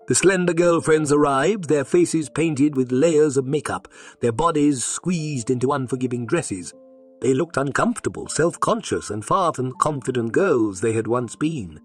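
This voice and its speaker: A man narrating a scripted dramatic story in a measured, expressive read-aloud delivery. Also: on the high side. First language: English.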